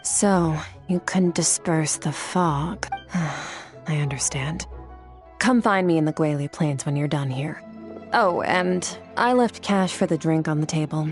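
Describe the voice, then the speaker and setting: An actress playing an aloof, mysterious swordswoman who speaks calmly and tersely, with detached coolness. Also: deep voice, slow pace. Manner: calm, terse, coolly detached. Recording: game cutscene with faint music.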